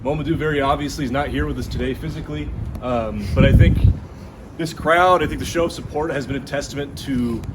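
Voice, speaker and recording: A man's voice: medium pitch, fairly quick. A young man, a graduate student, giving a fervent rally speech with a repetitive, chant-like cadence. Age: young adult. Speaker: PhD student and co-plaintiff. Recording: outdoor street noise, traffic.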